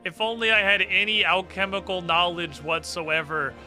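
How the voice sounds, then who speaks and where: A man's voice: high voice, fairly even intonation, normal speed, sharp tone. A man streaming a video game with casual, sarcastic, sweary banter. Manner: sarcastic, profane. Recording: game music under the voice.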